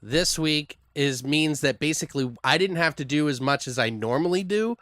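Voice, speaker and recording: A man's voice: a little high, lively intonation, normal speed, fairly bright tone, dry recording, clean studio sound. A man co-hosting a podcast with casual, profane, teasing banter. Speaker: podcast co-host.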